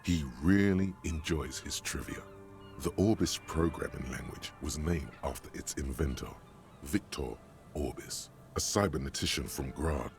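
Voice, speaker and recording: A gravelly, ominous game narrator. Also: male voice, a bass voice, music in the background, exaggerated voice. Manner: measured, ominous observations. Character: deep, gravelly male inner voice narrating. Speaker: inner-voice narrator of a video game.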